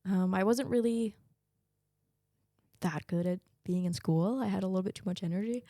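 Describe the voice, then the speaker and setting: A woman's voice: medium pitch, normal speed, no room sound, studio quality. A young woman guest, a Danish native speaker, talking candidly and with animation in a casual interview. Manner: candid and animated, laughing often.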